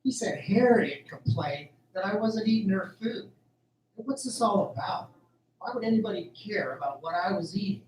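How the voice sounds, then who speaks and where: A man's voice: sits high, lively intonation, normal speed, darkish tone. A man playing an earnest, uneasy farm worker in a radio drama, plain-spoken and a little hesitant. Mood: puzzled. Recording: roomy, distant, faint noise.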